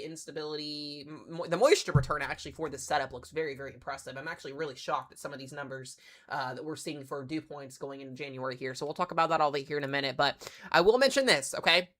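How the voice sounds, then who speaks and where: A man hosting a casual live weather briefing, sounding congested from strep throat, chatty and excitable with plenty of fillers. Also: a little high. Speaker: weather livestream host.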